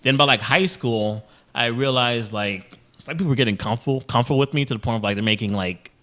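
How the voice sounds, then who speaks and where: Bright-voiced man telling rambling stories. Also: medium pitch, fast. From Haiti. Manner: rambling storytelling with many fillers and restarts. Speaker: podcast host. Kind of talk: casual podcast chat.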